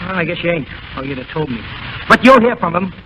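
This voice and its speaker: An actor playing a brisk, friendly, slangy backstage showbiz pal in 1930s radio drama style. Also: male voice, fairly high pitch, lively intonation, highs cut off, lightly acted. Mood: friendly, eager. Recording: faintly noisy vintage 1930s radio.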